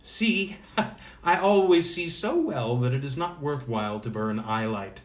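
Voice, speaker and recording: A man voicing a magical old wise woman from a storybook, brisk, cryptic and commanding. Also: mid-range voice, lively intonation, natural voice. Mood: cryptic, amused. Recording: roomy, echoing sound.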